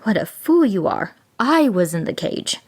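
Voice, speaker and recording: A woman voicing a savage, hungry tiger who is impatient and quick to rage, with a gruff, commanding delivery. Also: mid-range voice, big pitch swings, darkish tone.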